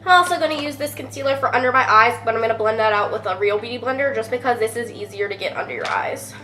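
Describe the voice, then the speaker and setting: A woman's voice: fairly high pitch, fast, bright, forceful tone. A young woman with a congested, cold-stuffed voice, chatting casually through a makeup tutorial with lots of fillers and the odd curse. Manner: chatty, filler-heavy, offhand swearing. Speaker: beauty vlogger.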